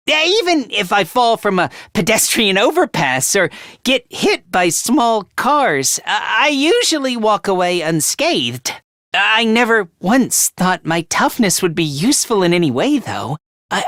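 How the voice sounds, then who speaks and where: A man voicing a meek, self-loathing young anime game character in a high, light voice, hesitant and apologetic, stammering as he puts himself down. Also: bright, forceful tone, no room sound, clean studio sound, full character voice. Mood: meekly earnest. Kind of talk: anime-style video game voice acting.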